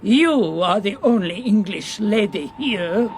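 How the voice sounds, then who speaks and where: An actress playing a cold, imperious baroness, formal and dismissive, in a radio drama. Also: female voice, mid-range voice, lively intonation, slow. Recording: faint train noise behind.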